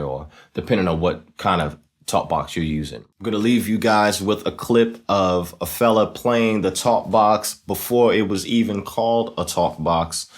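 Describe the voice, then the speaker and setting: Very deep-voiced man hosting a casual tutorial. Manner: conversational, often checking in with "right?". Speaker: tutorial host. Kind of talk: casual instructional demonstration.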